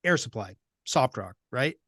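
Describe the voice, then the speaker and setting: Casting: man, 50s, from Vermont, jokey podcast co-host, mid pitch, dry close-mic sound. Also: lively intonation, unhurried, pushed and bright, no echo. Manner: jokey, chuckles often between points. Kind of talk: casual podcast chat.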